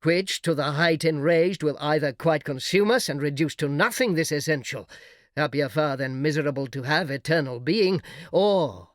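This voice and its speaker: A man's voice: a little high, normal speed, no room sound, studio quality, natural voice. A man playing a fierce, frowning fallen angel warrior who urges revenge with defiant declamation in an epic verse reading. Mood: grim defiance.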